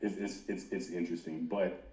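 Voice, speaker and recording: A man's voice: low voice, little pitch movement, fairly quick, mellow. A man hosting a podcast in casual, slangy chat, often saying bro. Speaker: podcast host. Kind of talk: casual chat about anime. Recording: roomy echo with background noise.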